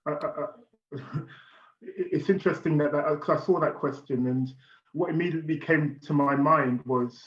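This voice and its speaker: A man's voice: a little high, moderate pace. A British man speaking earnestly on a panel, repeating words as he stresses his points. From United Kingdom. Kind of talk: panel discussion. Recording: echoey, muffled room sound.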